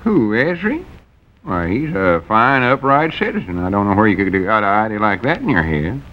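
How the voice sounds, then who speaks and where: A man's voice: on the low side, big pitch swings, fairly quick, dull audio, slight background noise. A man playing a cagey old rural storekeeper in a 1940s radio comedy, with a folksy, evasive drawl.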